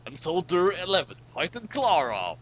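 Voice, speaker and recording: A man's voice: high voice, very animated, measured pace, a bit bright. A man doing a dramatic, comic reading of badly written fan fiction, often stumbling over the wording.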